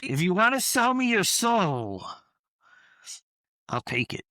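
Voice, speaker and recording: A man's voice: high voice, slow pace, no room sound. Casual male interviewee, loose rambling delivery, dead dry recording. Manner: relaxed, rambling, frequent fillers and restarts. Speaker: interview guest, costumed performer.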